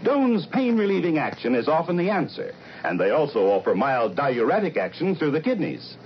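A male announcer delivers a scripted vintage radio commercial. His voice sits fairly high and moves in lively swings. The recording is dull and lo-fi. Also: normal speed, slight background noise. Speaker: advertisement announcer.

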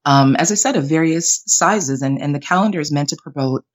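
A woman's voice: sits low, fairly quick. A middle-aged woman born in Jamaica and raised in Canada, a guest talking earnestly in a casual interview with frequent fillers.

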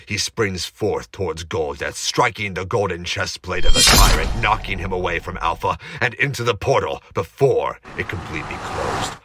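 A man's voice: sits low, animated, normal speed, hardly any pauses. A man giving dramatic scripted narration of an action story in an amateur audio drama. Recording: noisy amateur recording with heavy background noise.

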